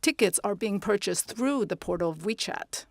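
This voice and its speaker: A woman interpreting live at a conference, speaking in measured, segmented phrases with pauses. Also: mid-range voice, expressive, no room sound. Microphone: close interpreter's microphone.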